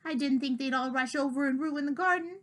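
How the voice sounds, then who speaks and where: A woman's voice: high-pitched, a little fast, a bit bright, pitched above the performer's own voice. A woman voicing a small, guilty young female cat who apologizes tearfully. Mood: apologetic.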